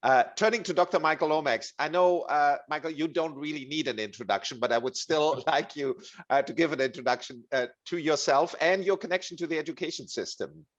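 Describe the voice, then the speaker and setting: A man's voice: a little high, brisk, hardly any pauses, highs cut off. A man moderates a panel discussion, graciously introducing guests with frequent hesitations.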